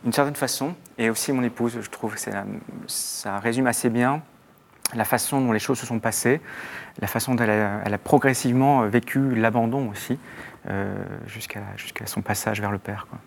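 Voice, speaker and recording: A young man from Belgium, speaking as an interview guest, soft-spoken and hesitant with many restarts as he tells his faith story. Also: medium pitch, moderate pace, slight background noise. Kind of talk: reflective faith testimony interview.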